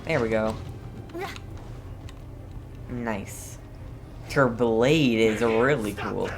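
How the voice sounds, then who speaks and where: A woman streaming a game with an extremely low-pitched voice. She talks slowly and casually, dropping short, laid-back reactions as she plays. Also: background noise. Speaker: gaming streamer. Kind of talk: casual game playthrough commentary.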